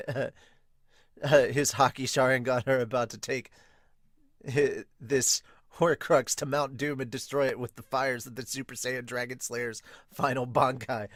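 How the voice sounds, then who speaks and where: A man's voice: medium pitch, dry recording. Man, mid-range voice, podcast host, casual reaction commentary, amused, informal, exclamatory, very dry recording. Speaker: podcast host reviewing manga.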